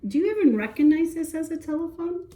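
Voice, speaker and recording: A woman's voice: very high voice, animated, quick pace, warm tone. A woman teacher reading a picture book aloud, chatting conversationally with young listeners. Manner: conversational, addresses child listeners. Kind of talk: picture-book storytelling with asides. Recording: roomy echo, distant from mic.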